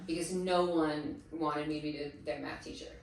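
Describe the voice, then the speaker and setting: A woman's voice: sits low, normal speed, slight background noise. A woman in her thirties telling stories as a guest in a casual interview, acting out what others said. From Massachusetts. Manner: chatty storyteller, animated quoting of others. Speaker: guest, teacher. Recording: echoey room, distant mic.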